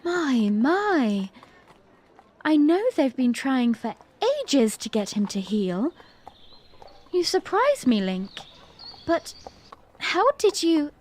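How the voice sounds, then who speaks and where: A young princess, played by a woman, tells her memories in an audio drama. Her voice is high and very unhurried, ladylike and lightly amused. It rings in a long hall reverb with some noise. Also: big pitch swings, a little mellow, full character voice. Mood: playful, surprised. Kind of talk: audio drama narration and dialogue.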